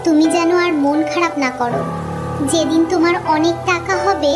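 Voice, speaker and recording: A woman voicing a gentle, curious little village girl in a cartoon story, childlike and earnest. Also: extremely high pitch, steady tone, normal speed, loud music behind the voice. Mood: earnest, affectionate. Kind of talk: cartoon moral story dialogue.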